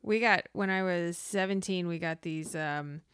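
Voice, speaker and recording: A woman's voice: on the low side, unhurried, fairly bright tone, clean tone. A woman telling personal anecdotes in casual conversation as a podcast co-host, acting out the remarks she quotes.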